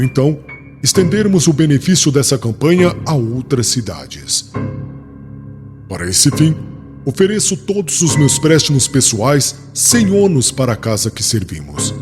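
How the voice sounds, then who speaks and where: A man's voice: mid-range voice, natural voice. Guy doing a proud young religious zealot giving a formal speech, brazilian accent, big echoing hall, music under it. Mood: resolute, severe. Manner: formal, declamatory oratory. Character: proud young rabbi zealot, stern persecutor addressing a council. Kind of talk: dramatized audiobook speech.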